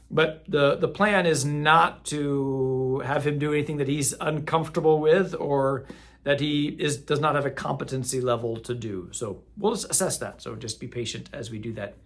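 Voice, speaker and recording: A middle-aged man runs through parish announcements in an easy, conversational way. His voice sits slightly high for a man, and he wanders and corrects himself like someone thinking aloud. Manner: rambling, self-correcting, friendly. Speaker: parish priest.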